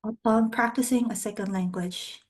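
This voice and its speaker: A woman poet, a native speaker of Spanish and Korean, reading poems in measured, deliberate short phrases with brief spoken introductions. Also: medium pitch, steady tone.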